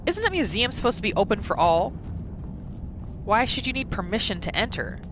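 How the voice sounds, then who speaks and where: A woman hosting a gaming video, giving expressive roleplay commentary as she plays. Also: medium pitch, brisk. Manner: animated, expressive reactions. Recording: voice over noisy game ambience. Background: video game ambience.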